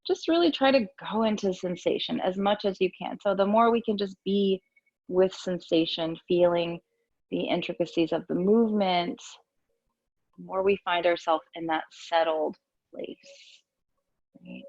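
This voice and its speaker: Woman doing calm guided meditation, unhurried, bit of vocal fry, dry muffled lo-fi audio. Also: fairly low pitch, no room sound. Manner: gentle, soothing, unhurried guiding with pauses. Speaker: yoga and meditation teacher. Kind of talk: guided yoga and meditation instruction.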